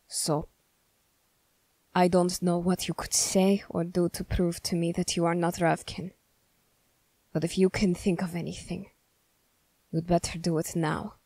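A woman voicing a stern, wary young male soldier in measured, formal, halting phrases for an ASMR roleplay. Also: lowish voice, flat delivery, mellow, no echo. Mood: grave, threatening. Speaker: roleplay voice performer. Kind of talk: ASMR character roleplay addressed to the listener.

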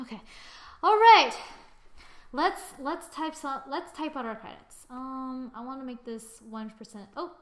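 A young woman giving a casual software tutorial, thinking aloud informally with muttered asides as she works. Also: high voice. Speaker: tutorial presenter. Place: indoors, voice-over to a screen recording.

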